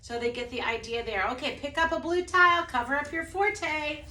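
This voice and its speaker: Brief: woman music teacher, high bright voice, casual, room echo. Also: normal speed. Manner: demonstrates by singing snippets, then speaks casually; says she has a low voice. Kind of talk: explaining a preschool music lesson.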